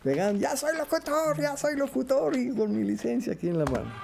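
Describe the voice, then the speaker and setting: A man's voice: very high voice, sing-song, brisk, vocal fry, over music. An older man with a Mexican accent, a veteran radio announcer reminiscing in an interview.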